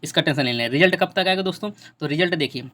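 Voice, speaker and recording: Fast-talking young man explaining. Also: fairly high pitch, pushed and bright. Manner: repeats phrases for emphasis. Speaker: exam preparation YouTuber. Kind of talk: informal explainer addressed to exam candidates.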